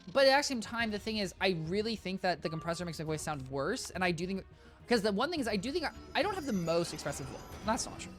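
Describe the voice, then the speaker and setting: A game streamer with a high, squeaky voice talks to chat in a loose, rambling way, starting sentences over and correcting themselves. Their pitch jumps up and down a lot. Soft game music plays quietly underneath. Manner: rambling, self-correcting and chatty, often addresses chat as guys. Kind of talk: casual live-stream chat while gaming. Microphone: desk mic with compressor.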